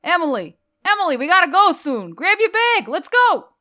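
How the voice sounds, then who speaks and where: Woman voicing shrill, shouting, excitable centaur girl. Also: normal speed, exaggerated voice. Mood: excited, urgent. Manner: shouting in eager bursts. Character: excitable, loud young female centaur, bubbly and pushy.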